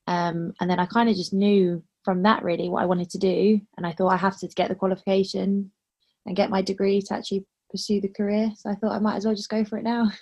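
Woman in her 20s, from london, student, steady casual talk. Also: medium pitch, creaky. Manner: steady, starts with "um". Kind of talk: casual chat.

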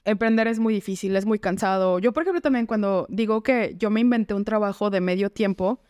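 A Mexican woman speaks as an interview guest in a clear, smooth voice of medium pitch. Her delivery is informal and candid, and the sound is close and dry. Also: a little fast, darkish tone, no echo. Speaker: guest, entrepreneur. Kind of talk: casual interview conversation.

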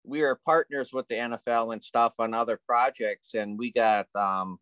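A man co-hosting a casual podcast, telling stories in a relaxed, hesitant way with lots of ums. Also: medium pitch, normal speed, clean tone, dry recording. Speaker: podcast co-host.

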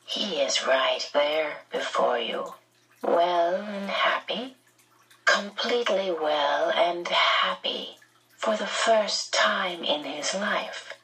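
A woman voicing a smooth, menacing man in an audiobook, slow and patronizing, with a whispery, breathy tone. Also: lowish voice, animated, bright, forceful tone, recorded from a distance, full character voice, rough recording. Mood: falsely soothing. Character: smooth, menacing, condescending man with red eyes.

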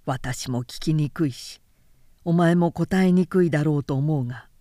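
Woman acting grave, troubled husband, lowered voice. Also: warm tone, no room sound, clean studio sound, close to an everyday voice. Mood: grave, restrained. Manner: grave, halting, restrained. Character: troubled samurai husband, restrained, grave, suffering inwardly.

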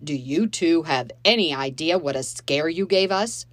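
Lady reading angry stepdad yelling at the kids, loud, bitter, audiobook. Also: low-pitched, expressive, moderate pace, no echo. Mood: shouting, accusing. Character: angry stepfather, grown man, accusing and shouting.

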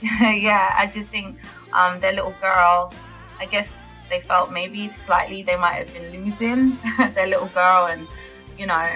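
A woman's voice: mid-range voice, steady tone, measured pace, bright, forceful tone, faint music behind, rough recording. A young woman, a singer chatting casually as an interview guest, with lots of fillers and laughs. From England. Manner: chatty, laughs often, many fillers and restarts.

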